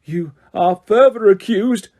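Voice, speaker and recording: A man's voice: sits high, moderate pace, dark tone, voice pushed up. A man reading aloud voices a stern, cold middle-aged male judge who bellows commands.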